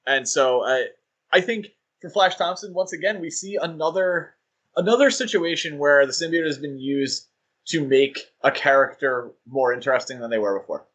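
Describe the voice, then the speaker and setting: A man's voice: a little high, moderate pace, a bit bright, muffled recording. A man co-hosting a podcast, talking casually and joking with many fillers. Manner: chatty, joking, with frequent fillers. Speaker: podcast co-host.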